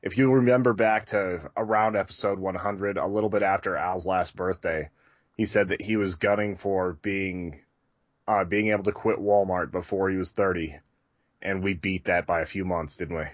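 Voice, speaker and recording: A man's voice: sits low, steady tone, moderate pace, no echo, lo-fi sound. A man chatting casually and enthusiastically as a podcast co-host.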